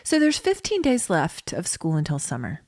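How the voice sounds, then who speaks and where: A woman's voice: fairly low pitch, wildly swooping pitch, normal speed, a little mellow, no echo, studio quality. A woman co-hosting a podcast in casual comedic chat, playful and wry as she banters. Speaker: podcast co-host.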